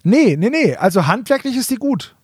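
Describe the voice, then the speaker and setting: A man's voice: very high voice, very animated, normal speed, husky, dry recording, clean studio sound. A man chatting casually as a podcast co-host in a playful, ironic way. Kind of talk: casual chat.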